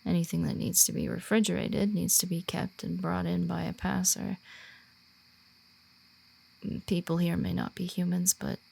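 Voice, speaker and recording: Creaky-voiced, hesitant werewolf woman, voiced by an actress. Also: lowish voice, flat delivery, darkish tone, heavily acted. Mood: helpful, matter-of-fact. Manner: hesitant, halting, awkward when personal. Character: shy, earnest born werewolf woman guiding a newcomer. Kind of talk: roleplay audio drama, softly spoken to the listener.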